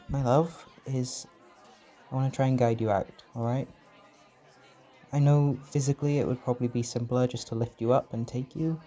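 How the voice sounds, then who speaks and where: A man playing a gentle, protective caretaker in a roleplay comfort audio, speaking in a soft, reassuring, tender way. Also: mid-range voice, natural voice. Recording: music and party chatter behind.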